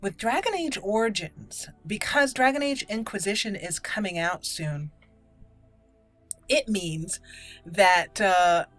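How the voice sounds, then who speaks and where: A woman's voice: medium pitch, animated, takes it slowly, fairly bright tone, faint music behind. A woman giving casual let's play commentary as a channel host, chatty and stretching key words for emphasis.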